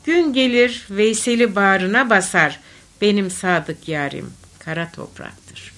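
Woman, poetry reader, very slow pace, animated swooping intonation, declamatory delivery, mid-pitched. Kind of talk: expressive recitation of folk poetry.

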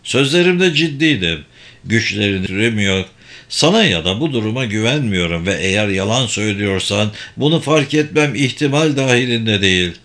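A man's voice: on the low side, animated, moderate pace, fairly bright tone, pitched below the performer's own voice. A man voicing a sardonic, distrustful young thief in an audiobook, with a sceptical, cutting delivery. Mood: distrustful, defiant.

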